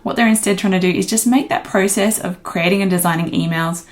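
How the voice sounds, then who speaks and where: A woman talking through a design software tutorial in a casual, friendly, step-by-step way. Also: medium pitch, steady tone, fairly quick.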